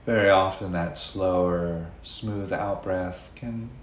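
Guy with a low voice doing guided meditation, gentle n unhurried w long pauses, echoey room. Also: steady tone. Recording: roomy echo, faint background noise.

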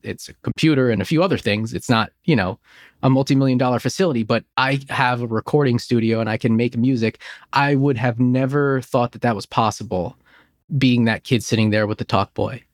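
A man's voice: medium pitch, fairly quick, no echo. Dry-sounding man chatting nostalgically. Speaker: podcast host. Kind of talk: nostalgic casual chat. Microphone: close studio microphone in home office.

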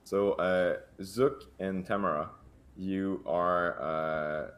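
Low, slow-talking man running a game. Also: steady tone, a bit bright. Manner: hesitant, many fillers and restarts. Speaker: game master. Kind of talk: narrating a tabletop game.

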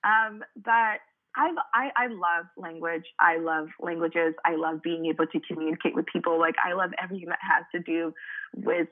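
A woman's voice: fairly low pitch, steady tone, normal speed. A young woman from Cameroon, a native French speaker, talking casually as an interview guest, with many fillers. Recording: tinny phone-like line.

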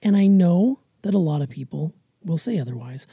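A woman's voice: on the low side, lively intonation, normal speed, mellow, dry recording, studio quality. A middle-aged woman, chatting emphatically and with self-assurance as a podcast co-host. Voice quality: raspy. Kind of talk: casual chat with emphatic opinion.

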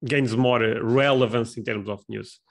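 A male podcast host with a medium-pitched voice. He speaks English in a casual, conversational way, halting and doubling up on words as he builds his questions and answers. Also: fairly even intonation, creaky. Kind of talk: casual interview chat.

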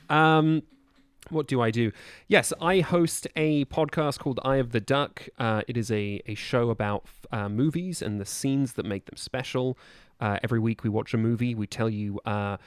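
A man talks as a guest on a podcast in a casual, conversational way. His voice sits at a middle pitch and is recorded very dry. He is wordy, with a drily playful manner. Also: smooth, no room sound.

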